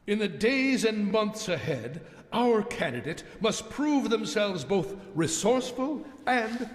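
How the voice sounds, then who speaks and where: A man's voice: high voice, sing-song, slow, exaggerated voice. An actor playing a pompous, grandiose male party announcer giving a political rally speech in a game cutscene. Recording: echoing hall with long reverb.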